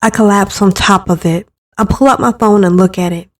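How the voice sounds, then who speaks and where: A woman's voice: medium pitch, fairly even intonation, fairly quick. A woman reading scripted first-person narration for a dramatic visual novel story.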